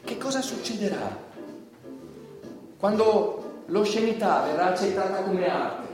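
Stern judge, indignant and theatrical; very high-pitched delivery, far from the mic in a reverberant space. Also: male voice, animated, a little slow. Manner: formal, moralizing, emphatic. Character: indignant, stern male judge condemning an obscene comedian. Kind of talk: theatrical stage monologue. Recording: echoey hall, distant mic, music behind.

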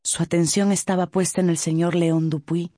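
A woman giving expressive, scripted storytelling narration for a radio drama. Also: lowish voice, moderate pace, dry recording, clean studio sound.

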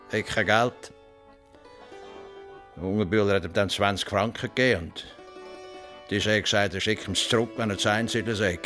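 Actor as rustic old Swiss German farmer. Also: male voice, on the low side, a bit bright, lightly acted. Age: older. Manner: dialect-tinged, rustic, plain. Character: plain-spoken old Swiss farmer, widowed, stubborn and chatty. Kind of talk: radio play.

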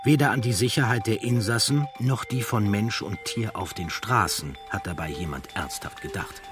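Low-voiced male documentary narrator. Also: moderate pace, music in the background.

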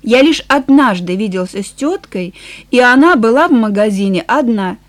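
A woman's voice: on the high side, animated, normal speed, natural voice. Woman reading as a weary, frank farmer nearing sixty. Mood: weary, calm. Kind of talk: audiobook character dialogue.

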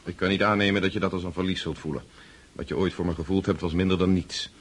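An actor as a low-voiced, brooding aristocratic husband. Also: male voice, level tone, fast, a little hiss or hum, close to an everyday voice. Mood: cold, bitter. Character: brooding, bitter, estranged aristocratic husband and freemason. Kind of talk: radio drama acting.

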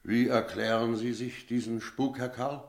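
An actor playing a sober village innkeeper who tells an eerie tale in a measured, reflective radio drama style. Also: male voice, medium pitch, little pitch movement, gravelly, no room sound. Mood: puzzled, brusque. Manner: measured, reflective, literary storytelling. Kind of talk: radio drama narration and dialogue.

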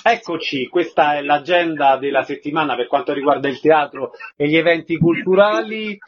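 A man's voice: on the high side, moderate pace, dull audio, soft background music. A man hosting a radio programme, putting questions to guests and presenting segments. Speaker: radio host and interviewer.

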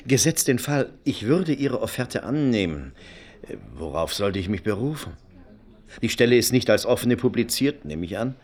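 A man's voice: mid-range voice, lively intonation, normal speed, a little mellow, close to an everyday voice. An actor in a German radio play, playing a courteous, wistful, out-of-work company man in his fifties who speaks formally and hesitantly. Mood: politely wary.

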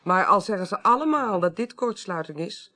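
Determined, articulate investigator. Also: female voice, medium pitch, animated, sharp tone. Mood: insistent. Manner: articulate, deliberate, insistent. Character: sharp, determined investigator, convinced of foul play. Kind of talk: Dutch radio drama acting.

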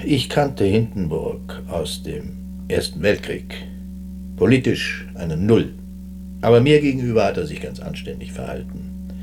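An actor playing a weary, proud retired German army chief with dry, dismissive, clipped remarks in a radio drama. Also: male voice, on the low side, big pitch swings, unhurried, vocal fry, over music, full character voice. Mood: dry, matter-of-fact.

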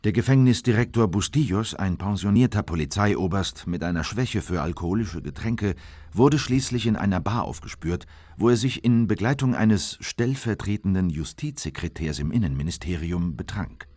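An actor playing a sober government insider who narrates tense political events in a measured, deliberate way for a radio drama. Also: male voice, sits low, animated, darkish tone, no room sound.

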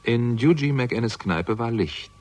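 A man's voice: mid-range voice, lively intonation, slow pace, a little mellow. A man playing a world-weary investigator who narrates his own case in a radio crime drama, dry and literary. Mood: wry, reflective. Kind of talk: scripted first-person radio drama narration.

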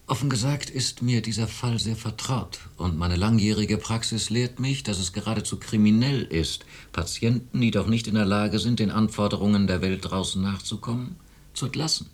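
Radio drama chief psychiatrist, male actor: lowish husky voice, self-righteous, authoritative, brushes objections aside. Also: moderate pace, lightly acted. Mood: stern, condescending. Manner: authoritative, dismissive, insistent.

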